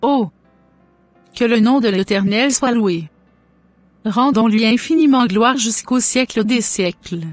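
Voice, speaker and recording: A woman playing a pious, joyful middle-aged mother in an animated religious story, speaking in solemn, formal blessings. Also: a little high, a little slow, long pauses, dry recording, soft background music. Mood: reverent, blessing.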